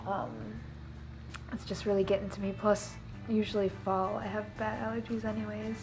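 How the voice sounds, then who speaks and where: A woman's voice: mid-range voice, monotone, normal speed, over music, poor audio. Stuffed-up young woman vlogging casually. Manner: chatty and rambling, many fillers like "um" and "like". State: stuffed-up, snuffly nose from allergies. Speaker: beauty and costume vlogger. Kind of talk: casual chat to camera. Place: home living room.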